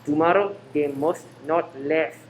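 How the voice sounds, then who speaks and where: A man playing a menacing spy ringleader in a radio play, measured and scornful as he gives orders. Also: a little high, lightly acted. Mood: smoothly menacing. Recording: noisy room recording.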